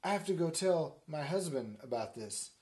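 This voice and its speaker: A man voicing an excitable young mother Mary in a homespun storytelling, exclaiming in modern slang. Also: fairly high pitch, moderate pace, close to an everyday voice. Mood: excited, urgent.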